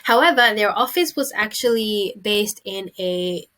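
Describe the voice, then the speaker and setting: A woman's voice: mid-range voice, animated, measured pace, a bit bright. Young woman, from Singapore, casual chat, small indoor room, webcam. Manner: chatty, gestures with hands. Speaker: vlogger. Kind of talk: casual talk to camera.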